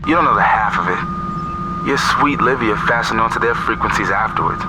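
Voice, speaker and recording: An actor playing a slangy, breezy, wisecracking radio informant in a video game. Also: male voice, fairly low pitch, fairly quick, heavy background noise, natural voice. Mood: sly. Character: slangy, joking male radio operator and informant.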